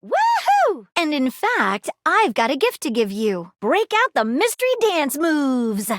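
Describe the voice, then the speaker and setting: An eager, flirtatious female sleuth from a video game. Her voice sits a little high and swings around in lively, theatrical lines. She speaks plainly and earnestly, in a very dry, close recording. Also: a little slow, dry recording, full character voice. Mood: excited. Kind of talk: expressive scripted game character dialogue.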